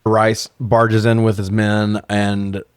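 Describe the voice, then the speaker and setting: A man's voice: on the low side, monotone, slow pace, clean tone, no room sound, studio quality. A man co-hosting a podcast, casually recapping and riffing with incredulous, sweary humor. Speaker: podcast co-host. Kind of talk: casual comedic film recap and chat.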